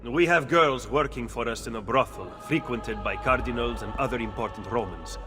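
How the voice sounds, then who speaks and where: An actor playing a shrewd, skeptical Renaissance conspirator with an Italian accent in a video game cutscene. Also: male voice, mid-range voice, normal speed, music in the background, lightly acted. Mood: dissatisfied.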